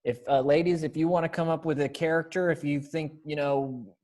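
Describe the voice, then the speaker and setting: A man's voice: on the high side, steady tone, brisk, darkish tone. A young man directing a readers theater group over a video call in a casual, encouraging way. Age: young adult. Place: indoor room by window, video call.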